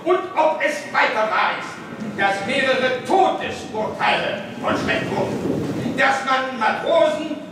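An actor playing an accusing opposition deputy in a radio drama, declaiming insistent questions in parliament. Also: male voice, very high-pitched, animated, takes it slowly, exaggerated voice. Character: accusing male left-wing opposition deputy addressing parliament. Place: large echoing chamber. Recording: echoey hall, distant, noisy ambience.

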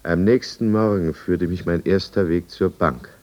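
An actor playing a brooding drunkard who narrates his own downfall deliberately and confessionally in a 1950s radio drama. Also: low-pitched, a little mellow, muffled recording. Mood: tense, resigned. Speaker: first-person narrator.

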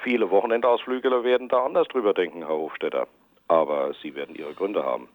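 An actor playing a calm, formal weather forecaster in a radio drama, measured and heard through a telephone. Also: male voice, low-pitched, no echo. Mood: matter-of-fact. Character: calm, matter-of-fact weather office forecaster. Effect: telephone filter. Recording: tinny phone line.

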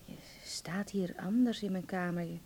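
Doting mother, soft husky voice. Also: lowish voice, moderate pace. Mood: wondering, fond. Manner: fond, fussing, exclaiming. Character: doting middle-aged mother welcoming her son home. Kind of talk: Dutch radio play acting.

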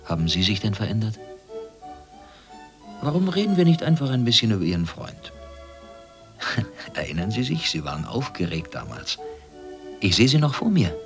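A very low, menacing interrogator. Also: male voice, big pitch swings, over music. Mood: smug, menacing. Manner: insinuating, deliberate. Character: smug, menacing male former East German secret-police interrogator. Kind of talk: German radio play acting.

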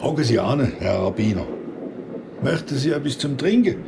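An actor playing a brusque, condescending provincial police inspector with a creaky voice in a naturalistic radio drama. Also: male voice, medium pitch, animated, normal speed, background noise. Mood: dry, condescending. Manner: dry, condescending, officious. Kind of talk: naturalistic German-language radio drama acting.

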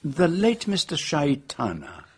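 An actor in a radio drama playing a courtly, sly Belgian detective with a French-speaking accent and formal, florid phrasing. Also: male voice, a little high, wildly swooping pitch, measured pace, husky, heavily acted. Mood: smoothly probing.

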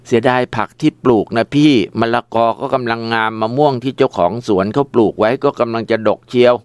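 A man's voice: mid-range voice, fairly quick, clear, resonant tone, no echo, lightly acted. A man doing a young village wife. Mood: plain.

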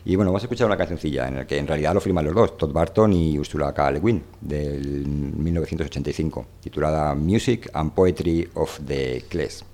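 Male radio host, very low voice, loose conversational delivery, gropes for words and repeats himself. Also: brisk, hardly any pauses, warm tone. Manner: hesitant, repeating words as he searches for phrasing. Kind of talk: casual, rambling literary discussion.

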